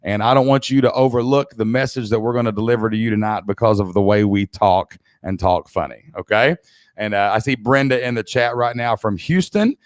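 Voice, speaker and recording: A man's voice: lowish voice, fairly quick, no room sound, clean studio sound. A man from Kentucky with a Southern accent, presenting a live online business training as a coach in a folksy, emphatic, motivational way. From Kentucky, United States.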